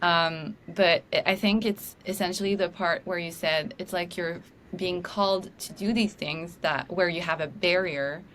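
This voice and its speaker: A woman's voice: on the low side, fairly even intonation, measured pace, hardly any pauses, slight background noise. A woman with a French accent, whose first language is French, hosting a podcast and talking casually, sometimes hesitating to find a word. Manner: warm, hesitant, searching for words. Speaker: podcast host. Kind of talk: casual conversational interview.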